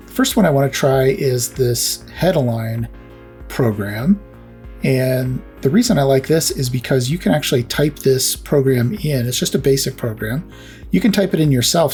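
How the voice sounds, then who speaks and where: A man's voice: medium pitch, a little mellow. Man giving a casual hands-on tech demo. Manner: explanatory, step by step. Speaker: host of a retro computing repair channel.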